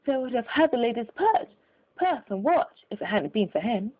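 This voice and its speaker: Casting: excited working-class female bystander for a storybook street brawl, played by a woman narrator, urgent and raised in pitch, narrow telephone-like sound. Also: moderate pace. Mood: urgent, concerned.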